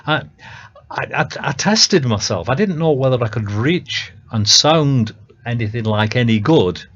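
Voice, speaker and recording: A man's voice: mid-range voice, lively intonation, a little slow, fairly bright tone. A man with a Northern English accent, chatting as a guest on a radio show about his song, hesitant and full of ums and restarts. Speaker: songwriter guest. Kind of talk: casual radio chat. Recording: dull lo-fi radio audio.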